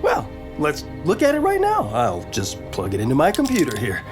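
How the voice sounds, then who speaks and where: An actor playing a friendly, wry army captain in an audio drama, with a playful, theatrical delivery. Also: male voice, a little high, huge pitch swings, moderate pace, music in the background. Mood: amused, friendly. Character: jovial, wry military captain, food-loving and friendly.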